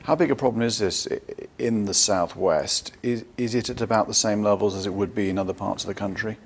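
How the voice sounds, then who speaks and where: A man's voice: fairly low pitch, fairly quick, slight background noise. A man working as an interviewer, asking spontaneous questions.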